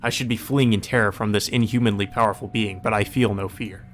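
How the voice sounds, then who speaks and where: A man's voice: fairly low pitch, steady tone, brisk, a little hiss or hum. A man reading visual novel narration aloud in a dramatic first-person storytelling style for a let's play.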